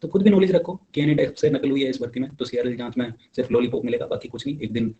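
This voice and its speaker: Young man, mid-pitched mellow voice, informal peer-to-peer update, insistent, exhorting delivery. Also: fairly even intonation, quick pace, highs cut off, a little hiss or hum. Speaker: exam candidate giving updates to fellow applicants. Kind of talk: informal spoken update, addressing peers.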